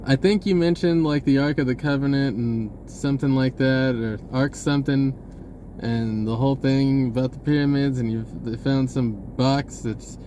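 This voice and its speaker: A man's voice: medium pitch, fairly even intonation, normal speed, mellow, a little hiss or hum. A man giving a rambling, spontaneous message in an informal, hesitant way, often rephrasing as he goes. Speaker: online video creator.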